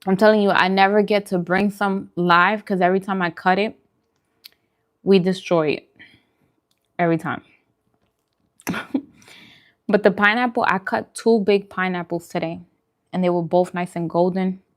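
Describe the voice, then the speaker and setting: A woman's voice: mid-range voice, fairly even intonation, normal speed, long pauses. A woman chatting casually and in a friendly way as she hosts a food livestream, answering viewers' comments.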